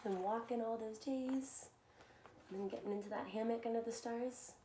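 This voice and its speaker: High-voiced woman reading to children, echoey room. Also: normal speed. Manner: animated, addresses listeners with simple questions. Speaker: children's story reader and soccer coach. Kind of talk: expressive read-aloud of a picture book with asides to young children. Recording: echoey room, faint background noise.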